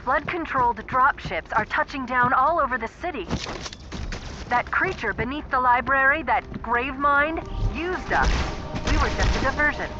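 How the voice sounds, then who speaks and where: A woman playing a resolute artificial intelligence companion in a video game, crisp, urgent and matter-of-fact, in a muffled recording. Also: sits high, lively intonation, moderate pace, noisy background. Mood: urgent briefing.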